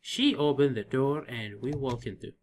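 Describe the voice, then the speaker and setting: Male let's player, stumbling through visual novel narration, guitar music clearly behind him. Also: medium pitch. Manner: halting, stumbling read-aloud delivery. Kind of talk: reading a visual novel aloud, voicing the dialogue.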